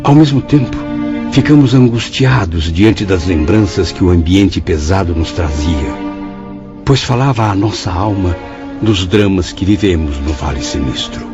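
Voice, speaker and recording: A solemn, troubled spirit speaks in a very deep voice that moves with wide expression. He is heard in a radio drama with clear music behind him, and the audio sounds muffled and lo-fi. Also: lively intonation, unhurried. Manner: earnest, solemn, contemplative. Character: troubled spirit narrating his own story. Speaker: narrator and lead character. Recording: dull lo-fi audio with music bed.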